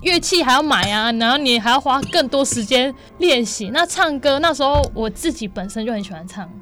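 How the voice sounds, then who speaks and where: A woman's voice: sits high, animated, a little fast, pushed and bright. A young woman with a Taiwanese Mandarin accent chatting casually as an interview guest. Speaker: guest, stage singer and actor. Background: music under the talk.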